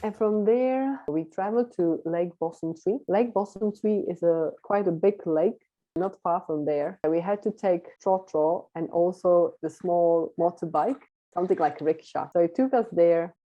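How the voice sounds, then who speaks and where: A young woman with a low, dark voice, chatting with lots of "like" fillers and easy laughter. She is heard over a video call, and the audio sounds dull and lo-fi. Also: measured pace, faint music behind. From Czech Republic. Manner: lively, chatty, laughs often, many "like" fillers. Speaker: interview guest, volunteer traveler. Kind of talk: casual storytelling. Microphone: video call webcam.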